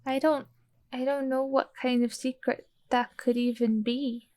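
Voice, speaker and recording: A woman's voice: high voice, little pitch movement, measured pace, warm tone. A woman voicing an earnest young girl who asks worried, caring questions.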